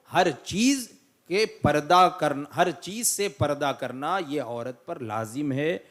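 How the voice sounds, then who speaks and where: A man speaking as an Islamic cleric, answering a religious question in a didactic, explanatory way with emphatic repetition. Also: a little high. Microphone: microphone through a loudspeaker system.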